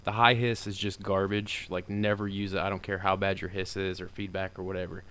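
A man with a deeper voice talking through a software tutorial in a loose, rambling way, full of fillers. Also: level tone, brisk. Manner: rambling and informal, with many fillers. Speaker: tutorial maker. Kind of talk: casual screen-recorded software tutorial.

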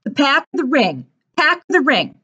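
A woman's voice: sits high, big pitch swings, moderate pace, pushed and bright, muffled recording, voice pushed up, heavily acted. Woman putting on a sneering teenage bully. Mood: taunting. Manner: sneering, mocking. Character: taunting, smug teenage boy bully.

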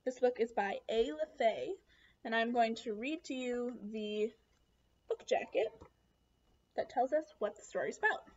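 A woman's voice: fairly high pitch, animated, measured pace, darkish tone. A young adult woman reading a children's picture book aloud expressively, with questions and asides to her young listeners. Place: small room at home, indoors. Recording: dull lo-fi webcam sound.

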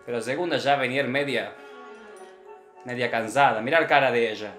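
Casting: exacting Russian ballet teacher played by a man, bright forceful voice, blunt comic critiques in broken phrases, roomy sound. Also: medium pitch, moderate pace, lightly acted. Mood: theatrical, critical. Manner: broken Spanish with infinitive verbs, chanted counting syllables. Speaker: ballet teacher reviewing dancers' videos. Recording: roomy echo with faint music behind.

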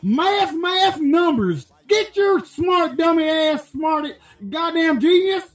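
A man's voice: extremely high pitch, slow pace, heavily acted. A man doing a profane rambling redneck ranter. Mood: ranting. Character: crude loudmouth rural American man voter. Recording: echoey room.

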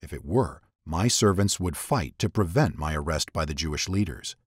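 A man reads scripture as a dramatic narrator. His low voice swings widely in pitch as he sets each phrase apart in measured storytelling. The recording is close and dry. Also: lively intonation, breathy edge, no echo. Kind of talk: dramatic scripted Bible reading.

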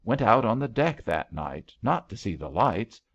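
Man playing a tender, earnest young lover, gravelly. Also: lowish voice, sing-song, measured pace, lo-fi sound, full character voice. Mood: wistful.

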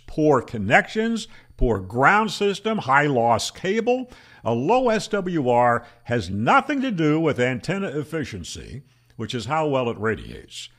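An older man, a ham radio educator, explains antenna myths to camera in a matter-of-fact, debunking tone, asking himself rhetorical questions, speaking into a boom-arm microphone in a small room. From Florida. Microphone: studio microphone on boom arm.

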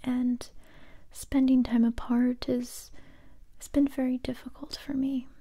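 Actress as young female survivor turned gentle, guarded interrogator. Breathy, even ASMR whisper, close to the mic. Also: sits high. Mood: wistful, worried. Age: young adult. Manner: slow, hesitant, intimate close-up delivery. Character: young woman survivor, kind but wary interrogator. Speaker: ASMR roleplay performer. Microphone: close mic, whispering near the listener.

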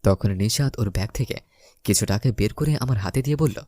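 A man's voice: fairly low pitch, fairly quick, warm tone, no room sound, clean studio sound. A young man narrating a romantic comedy story in the first person, theatrically acting out the lines. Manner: theatrical, acts out his lines.

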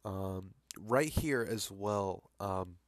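A young man with a low voice and a stuffy, congested nose chats casually at his desk, rambling through fillers and restarts. The sound is dry and close, with no room echo. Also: drawn-out words. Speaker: vlogger. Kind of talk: casual vlog commentary over screen capture.

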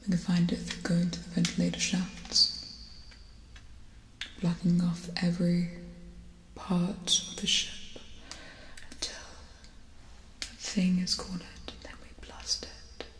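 Casting: young woman as serious female space officer, urgent but hushed ASMR delivery, flat intonation, echoey reverberant sound. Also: lowish voice, takes it slowly, long pauses. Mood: grim, determined. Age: young adult. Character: tough, urgent female spaceship officer tending a wounded crewmate. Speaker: ASMR roleplayer. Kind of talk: ASMR film roleplay, soft-spoken to whispering. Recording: echoey reverberant hall, music behind.

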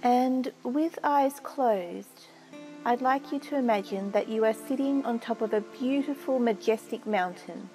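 Slow, mellow woman guiding meditation. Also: fairly high pitch, rough recording. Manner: soothing, measured, with long pauses. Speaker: meditation guide. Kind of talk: guided meditation narration. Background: music.

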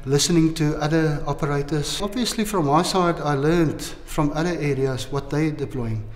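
A man answers informally in an interview. His voice is on the high side, and it echoes through a large, reverberant room. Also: normal speed. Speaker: conference attendee being interviewed. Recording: echoey hall.

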